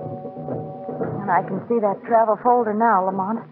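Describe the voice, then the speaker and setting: A woman's voice: mid-range voice, steady tone, a little slow, over music, lightly acted. An actress playing an uneasy, wry young woman companion in 1940s radio drama. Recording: tinny, noisy 1940s radio recording.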